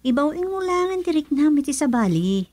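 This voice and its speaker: Fairly high-voiced, devoted young Ilocano woman. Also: very animated, a little fast, darkish tone. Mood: urging, scolding. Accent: Ilocano Filipino. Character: devoted young female friend, consoling and secretly fond. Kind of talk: Ilocano radio drama acting.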